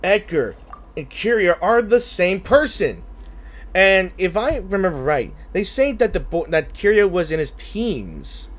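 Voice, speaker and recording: Higher-pitched man giving animated game commentary. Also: measured pace. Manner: informal, interjecting, thinking aloud. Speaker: gaming video host. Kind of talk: casual game commentary, reasoning aloud.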